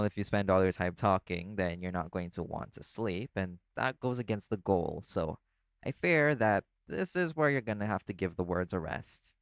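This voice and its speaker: A man playing a laid-back, caring vampire in an audio roleplay, talking the listener toward sleep in a casual, gently instructive way. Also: sits low, no room sound, clean studio sound. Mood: playfully bossy. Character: laid-back male vampire companion, teasing yet caring.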